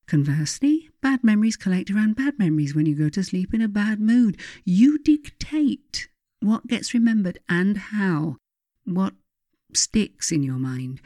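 A woman reading her own self-help writing aloud as scripted narration, in a measured, emphatic, instructive manner. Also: fairly low pitch, mellow, no room sound, clean studio sound.

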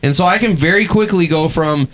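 A man's voice: fairly high pitch, brisk, fairly bright tone. A man in his late thirties hosting a podcast, joking crudely with friends and explaining things at length. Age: 30s. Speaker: podcast host. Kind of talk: casual crude banter.

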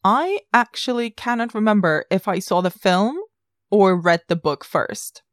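A woman's voice: mid-range voice, expressive, measured pace, no echo, clean studio sound. A woman hosting a film podcast, talking casually in a considered, reflective way.

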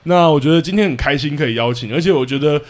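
A man's voice: fairly high pitch, fast talker. A man with a Taiwanese Mandarin accent, hosting a podcast and interviewing guests in casual chat. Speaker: podcast host.

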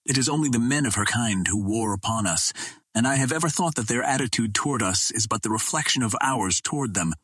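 A man's voice: mid-range voice, lively intonation, fairly quick, a bit bright, breathy edge, dry recording, studio quality. A man voicing a compassionate, outspoken female Martian in an earnest, impassioned and formal storybook manner. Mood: earnest defiance.

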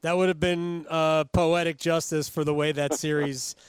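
A male sports radio host with a fairly high, very clean and smooth voice. He chats conversationally with his guest, close on a dry studio microphone. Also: level tone, moderate pace, no room sound.

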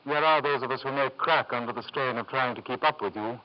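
A dull 1950s radio recording carries a male actor as a courteous doctor. His voice sits at a medium pitch, measured and politely restrained, with reproach under the manners. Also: lightly acted. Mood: quietly reproachful. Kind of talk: 1950s radio drama acting.

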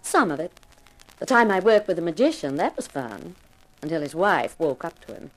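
An actress playing a brazen, flirtatious, mercenary former burlesque performer in a 1950s radio mystery, with a sly, teasing manner. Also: female voice, lowish voice, sing-song, moderate pace, rough recording.

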